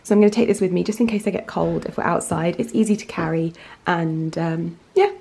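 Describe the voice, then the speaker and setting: A woman vlogging in casual, rambling chat, her voice croaky this morning. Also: on the low side, a little fast, mellow. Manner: chatty, rambling, lots of fillers.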